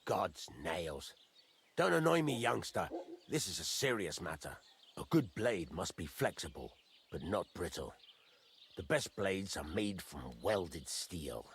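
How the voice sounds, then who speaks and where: An actor playing a gruff, fatherly middle-aged master blacksmith in a medieval video game, blunt and folksy, teasing as he talks. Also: sits low, sing-song, measured pace, slight background noise, heavily acted. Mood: stern, impatient. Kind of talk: video game dialogue acting.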